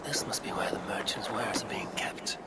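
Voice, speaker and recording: An actor playing a stealthy, determined Viking investigator who mutters to himself in a hushed voice in a video game. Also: male voice, fairly low pitch, fairly even intonation, normal speed, close to an everyday voice. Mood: watchful, hushed. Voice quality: hushed, whispery.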